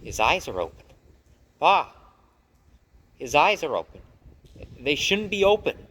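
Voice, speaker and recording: A man voicing an excitable young mouse brother in a storybook, loud and excited. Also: a little high, a little slow, breathy edge, big-room sound, pitched above the performer's own voice, heavily acted. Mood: alarmed excitement.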